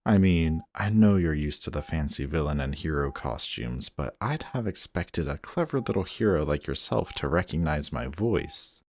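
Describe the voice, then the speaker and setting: A man's voice: a bass voice, animated, moderate pace, hardly any pauses, darkish tone, exaggerated voice. A man playing a smug, guarded supervillain in an audio roleplay, teasing and commanding, grudgingly protective of the person he is addressing. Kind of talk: scripted roleplay audio drama addressed to the listener.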